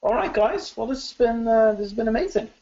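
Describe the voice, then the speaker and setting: A man's voice: very high voice, animated, brisk, dark tone. A man hosting a live webinar Q&A, chatty and enthusiastic, with lots of ums and restarts. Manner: chatty, filler-heavy, enthusiastic. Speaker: webinar host. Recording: dull lo-fi webinar audio.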